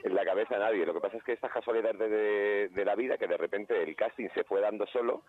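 A man from Spain speaks as a guest in a relaxed radio interview, thinking aloud and restarting his sentences as he explains. His voice is very low. He comes through a thin, phone-like line. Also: fast. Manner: thoughtful, with many restarts. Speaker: playwright and actor guest.

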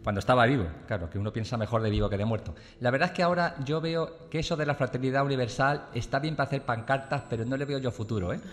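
A man's voice: medium pitch, quick pace, few pauses, long reverb tail, close to an everyday voice. A man voicing the ghost of a murdered male president in a radio comedy sketch, joking and offhand. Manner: jokey and offhand, slangy. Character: dead male Mexican president speaking from beyond, jokey.

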